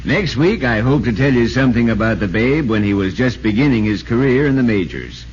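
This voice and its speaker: A man's voice: mid-range voice, lively intonation, fairly quick, slightly rough, soft background music. An American man playing a sportswriter who narrates a ballgame in 1940s radio drama style. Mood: friendly, informative. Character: excitable male sportswriter recounting a ballgame. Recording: muffled, noisy 1940s radio recording.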